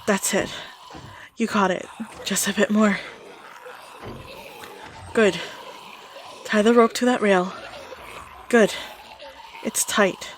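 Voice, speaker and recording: A woman's voice: medium pitch, fairly even intonation, slow pace, long pauses, a little hiss or hum, lightly acted. A woman playing a desperate, protective zombie outbreak survivor in an audio drama, giving urgent, clipped commands. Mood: encouraging, resigned.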